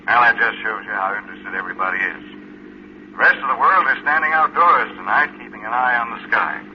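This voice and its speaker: Radio newsman: American, lowish voice, breezy and reassuring, folksy patter, dull vintage broadcast sound. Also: a little fast, gravelly. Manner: folksy, chatty announcer delivery. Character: breezy, reassuring male radio news reporter. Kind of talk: 1950s radio news commentary. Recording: muffled vintage radio, faint hiss.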